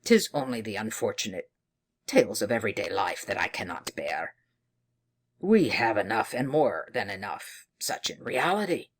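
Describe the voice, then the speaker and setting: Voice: medium pitch, big pitch swings, moderate pace, pitched below the performer's own voice. A narrator voicing a stuffy, learned, anxious male counselor in a storybook, speaking formally. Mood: pompous, curious.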